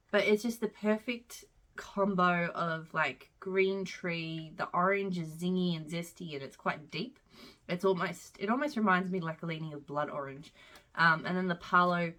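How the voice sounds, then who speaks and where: Woman, congested, head cold, chatty vlogger, rambling, lowish voice, at home. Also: fairly bright tone. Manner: rambling, chatty, frequent "um" and side tangents. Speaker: wax melt reviewer. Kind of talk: casual chatty product review vlog.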